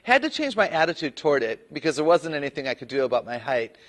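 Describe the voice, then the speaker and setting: A woman with a low voice, a public speaker telling a personal story in an animated, playful way. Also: fast talker. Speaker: guest speaker. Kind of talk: personal story told to camera.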